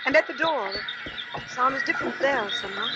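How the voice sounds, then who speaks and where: A woman's voice: high-pitched, lively intonation, a little fast, muffled recording, heavy background noise. A woman playing an anxious, proper wife and mother in a 1950s radio drama. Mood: fearful. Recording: 1950s radio recording with music behind.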